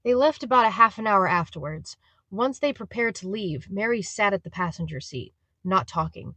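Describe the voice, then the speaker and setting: A woman's voice: medium pitch, expressive, moderate pace, fairly bright tone, no echo. A woman reading scripted story narration as the narrator of an audio drama.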